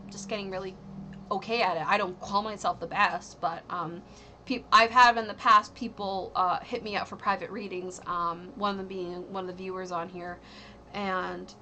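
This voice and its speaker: A woman in her thirties talks through a tarot reading on a casual home livestream, her voice bright and forward. She rambles, hesitates and fills gaps with ums and likes, over a faint hiss in the background. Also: mid-range voice, poor audio. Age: 30s. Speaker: tarot reader and streamer.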